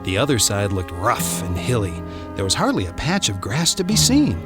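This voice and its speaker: A man narrating a scripted children's Bible story in an expressive way, drawing out words for emphasis. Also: lowish voice, moderate pace, over loud music.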